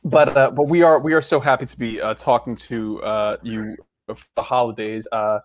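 A man's voice: medium pitch, fairly even intonation, normal speed. A young man co-hosting a podcast in casual chat, rambling with restarts and frequent laughs. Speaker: podcast co-host.

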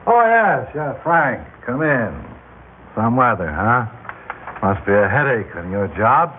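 An American actor playing a falsely chummy, menacing gambling boss in an old-time radio drama. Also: male voice, mid-range voice, big pitch swings, takes it slowly. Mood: falsely friendly. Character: smooth, menacing male gambling boss and creditor. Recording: old radio broadcast, narrow band, hiss.

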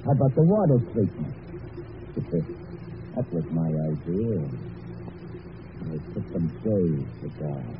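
A tough, boastful gangster who talks slang with a swagger, his voice rising and falling freely. He sounds thin and phone-like under steady hiss. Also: deep voice, slow pace, pauses often, heavily acted. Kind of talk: 1940s radio acting. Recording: hissy, tinny old radio recording.

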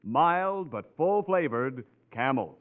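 A man's voice: on the high side, very animated, takes it slowly, phone-quality sound. A man announcing in a polished, emphatic 1940s radio advertising style. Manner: polished, emphatic sales delivery. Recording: thin 1940s radio recording, faint music.

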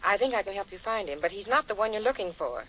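An actress playing an insistent young woman calling on the telephone in a 1950s radio drama, speaking hushed. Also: medium pitch, lively intonation, quick pace. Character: urgent young woman with a secret. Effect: telephone line. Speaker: caller. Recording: tinny phone call in an old broadcast.

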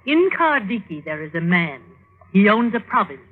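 A woman playing a ruthless, scheming mother in a classic radio drama, speaking with deliberate, commanding insinuation. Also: mid-range voice, very animated, narrow, tinny audio, a little hiss or hum, natural voice. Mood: coldly inciting. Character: ruthless, scheming mother goading her son to kill. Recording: band-limited old radio recording.

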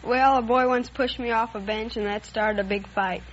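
A man's voice: very high voice, steady tone, normal speed. A teenage boy, a quiz contestant, answering in an earnest, plain-spoken way. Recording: dull vintage radio recording, faint noise.

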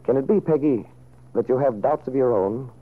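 A man narrating a vintage radio serial from a script in a measured, dramatic way. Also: mid-range voice. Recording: narrow, phone-like old radio recording.

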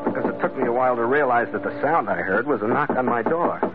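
A man's voice: mid-range voice, quick pace, lightly acted. An actor playing a blunt, world-weary sea captain who tells his adventure in a hard-boiled, laconic way, in old-time radio drama style. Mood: wry, narrating. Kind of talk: old-time radio drama acting with first-person narration. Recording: scratchy, phone-like vintage radio recording with hiss.

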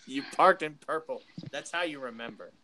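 Man, game master, animated, bright tone, playful. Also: a little high. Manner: playful, laughs often. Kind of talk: narrating a tabletop game.

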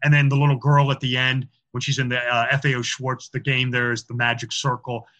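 A man's voice: mid-range voice, level tone, a little fast, bright, forceful tone. A man talking as a podcast guest about film symbolism, chatty and explanatory, with frequent fillers and repeated words. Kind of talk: casual interview talk.

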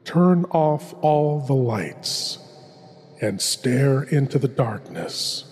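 A male horror host with a husky voice and a very unhurried pace. He is theatrical and teasingly sinister, a lord of shadows. Long reverb trails his words over faint music. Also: medium pitch, expressive, darkish tone. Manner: slow, ominous, mock-sinister.

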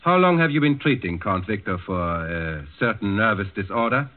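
A man's voice: on the low side, expressive, moderate pace, lightly acted. A man acting a stern, cold Nazi officer who questions formally and imperiously in a 1940s radio drama. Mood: stern, probing. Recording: muffled 1940s radio broadcast recording.

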